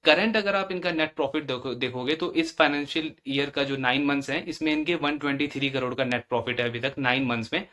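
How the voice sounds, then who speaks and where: Man, mid-pitched, analyst presenter, explanatory commentary, step-by-step, emphatic repetition. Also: steady tone, fairly quick, few pauses, bright, forceful tone. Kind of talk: explanatory earnings-call commentary.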